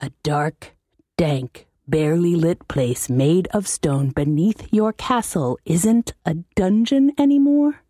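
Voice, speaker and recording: Woman doing a bored cheeky laundry demon, slow n deadpan, bit husky, fantasy audiobook. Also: on the low side, no room sound, clean studio sound, voice pushed up. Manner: deadpan, sardonic, formally insolent. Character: bored, cheeky servile male laundry demon with bulging belly. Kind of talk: audiobook character voice for comic fantasy story.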